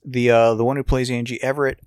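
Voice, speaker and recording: A man's voice: mid-range voice, little pitch movement, quick pace, darkish tone, clear, resonant tone, no echo, clean studio sound. A man co-hosting a casual review podcast, explaining his thoughts analytically and at length. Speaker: podcast co-host.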